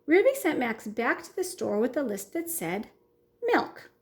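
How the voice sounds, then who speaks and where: Slow, lively-voiced story time reader. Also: female voice, high-pitched. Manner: warm, expressive storytelling for kids. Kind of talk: children's story read-aloud.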